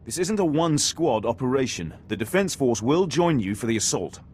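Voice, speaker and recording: Actor as a stern, formal military commander. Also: male voice, mid-range voice, big pitch swings, brisk, a little mellow, slight background noise, natural voice. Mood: grave, measured. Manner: formal, authoritative. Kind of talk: video game voice acting.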